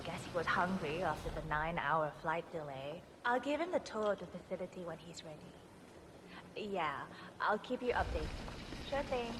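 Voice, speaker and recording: A woman's voice: lowish voice, normal speed, lightly acted. An actress voicing a polite, slightly flustered young woman in her twenties who works as a facility contact in a video game. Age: 20s. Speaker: game character. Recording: game audio with noisy ambience.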